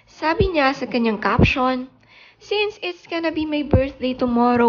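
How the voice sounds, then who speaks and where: A woman reading a scripted showbiz news voice-over and reading social media captions aloud in a calm mix of Tagalog and English. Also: on the high side, lo-fi sound.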